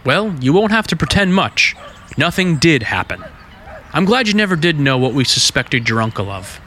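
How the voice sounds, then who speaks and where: An actor playing an earnest, plainspoken young American detective in love, in vintage radio drama style. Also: male voice, a little high, very animated, moderate pace, bright, forceful tone, slight background noise. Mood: matter-of-fact.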